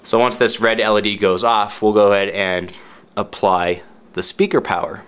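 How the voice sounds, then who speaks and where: Man, low-pitched voice, casual tutorial narration, informal, explanatory, step-by-step. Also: steady tone, normal speed, fairly bright tone. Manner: informal, explanatory, repeats key points for clarity. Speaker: product demonstrator.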